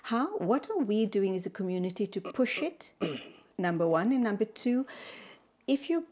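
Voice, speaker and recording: A woman's voice: medium pitch, very animated, normal speed, a little mellow. A woman hosting a talk show, interviewing a guest with direct, structured questions.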